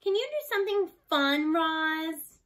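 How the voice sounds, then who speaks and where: A woman's voice: extremely high pitch, animated, a little slow, fairly bright tone, voice pushed up, heavily acted. A woman voicing a bored, curious young farm girl in a storybook, demanding and playful.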